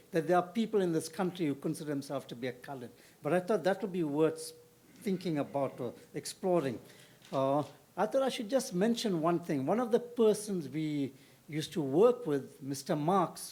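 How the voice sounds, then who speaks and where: An older man from South Africa asking the panel a long question from the audience, halting and full of fillers. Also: on the high side, darkish tone. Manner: halting, with many fillers and restarts. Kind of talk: question from the floor at a panel discussion.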